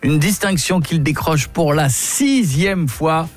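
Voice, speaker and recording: A man's voice: on the high side, over loud music. A man hosting a morning radio show, teasing his co-hosts and joking between news items. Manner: brisk, teasing, laughs often. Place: radio studio.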